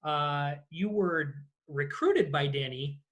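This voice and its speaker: A man's voice: a little high, takes it slowly, fairly bright tone, muffled recording. A man hosting a casual interview over a video call, putting questions to his guest. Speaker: interview host.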